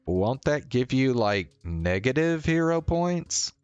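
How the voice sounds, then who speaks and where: Man putting on a higher-pitched, dorky young woman. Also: sing-song, slow, smooth, no echo, lo-fi sound, faint music behind. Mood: playfully worried. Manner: playful, casual. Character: quirky, dorky young woman with dark humor.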